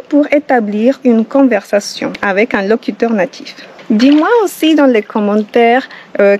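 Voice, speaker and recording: A woman's voice: on the high side, mellow, lo-fi sound. A Colombian woman with a Spanish accent in French, giving a casual bilingual Spanish lesson outdoors and repeating each phrase in both languages. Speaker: Spanish teacher and channel host. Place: outdoors, by the water, barefoot.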